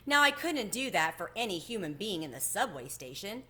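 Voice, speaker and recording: A woman voicing a gentle, homesick male cricket in a storybook, earnest and wistful in manner. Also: mid-range voice, very animated, normal speed.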